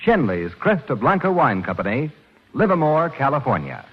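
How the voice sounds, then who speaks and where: Animated formal male 1940s radio announcer. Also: medium pitch, slightly rough. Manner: formal, theatrical, measured. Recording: muffled vintage radio recording, music behind.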